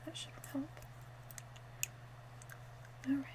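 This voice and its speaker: A woman softly half-whispering in an ASMR roleplay as a calm, helpful witch, with a gentle, deliberate delivery. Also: heavy background noise. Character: calm, helpful female witch selling love potions.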